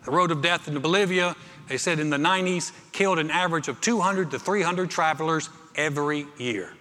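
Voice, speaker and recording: A middle-aged male pastor preaches in a large, reverberant church auditorium. His voice is fairly high and forceful with a bright edge. He tells personal stories conversationally and drives points home by repeating phrases. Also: brisk, faint music behind. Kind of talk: sermon with personal anecdotes. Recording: reverberant hall, amplified preaching.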